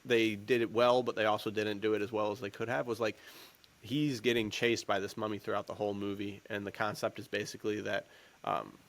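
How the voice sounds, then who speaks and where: A man's voice: fairly low pitch, level tone, brisk, vocal fry. A man co-hosting a podcast, chatting about films in an informal, rambling, slangy way. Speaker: podcast co-host. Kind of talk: casual chat, film commentary.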